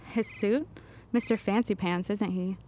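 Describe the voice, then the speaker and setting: A playful young woman played by an actress with a medium-pitched voice. She is wry and observant, delivered as audio drama dialogue. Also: steady tone, unhurried, dark tone, close to an everyday voice. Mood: curious.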